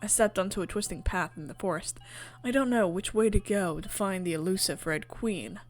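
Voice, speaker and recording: A woman's voice: medium pitch, moderate pace, faint music behind, lightly acted. A woman voicing a curious, wandering girl as she narrates a visual novel. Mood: pensive. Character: Alice, wandering curious girl narrating her dreamlike fall. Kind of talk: scripted visual novel narration read aloud.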